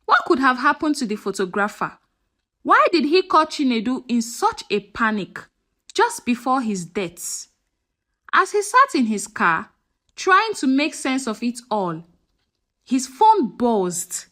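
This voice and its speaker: A woman's voice: sits high, sing-song, unhurried, sharp tone. A woman narrating a scripted folktale in a dramatic, suspenseful manner. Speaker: storyteller.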